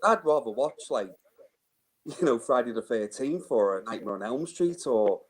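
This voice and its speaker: A man's voice: medium pitch, animated, moderate pace, a little hiss or hum. A man in his early forties with a Birkenhead accent, a guest chatting easily on a call from his phone, often calling people mate. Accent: Birkenhead, Wirral. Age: 40s. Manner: easygoing, calls people mate, laughs along. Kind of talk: casual chat. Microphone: phone on a video call.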